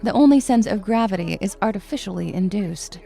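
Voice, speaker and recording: A woman's voice: medium pitch, expressive, a little fast, gravelly, warm tone, soft background music. A woman playing a stern, weary science professor, sarcastic and pedantic, in a comedy audio drama. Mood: pedantic, annoyed.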